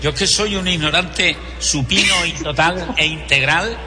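A man's voice: on the high side, normal speed. An older man from Spain with a Spanish accent, a singer and voice teacher chatting effusively as a podcast guest. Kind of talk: casual radio chat. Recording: long hall reverb, faint music.

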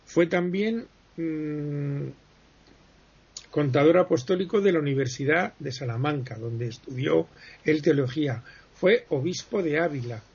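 Gravelly man telling history. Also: a little high, a little mellow. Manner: digressive, explanatory, many pauses and self-corrections. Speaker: history guest speaker. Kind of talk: conversational radio interview. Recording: muffled lo-fi broadcast sound.

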